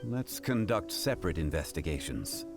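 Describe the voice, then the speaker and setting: Deep, dignified scholar. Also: male voice, very animated, gravelly, faint music behind, exaggerated voice. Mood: commanding. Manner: measured, formal, grave. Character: calm, dignified, learned gentleman of ancient wisdom. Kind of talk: video game character voice acting.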